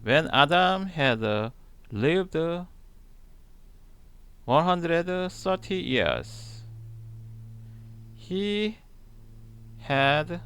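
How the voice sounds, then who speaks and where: A man reads scripture aloud extremely slowly, breaking it into short phrases with long pauses. His voice sits a little high, with a lively rise and fall in pitch. The delivery is halting and deliberate. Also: clean tone. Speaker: Bible reader, channel host. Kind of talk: slow read-aloud of scripture.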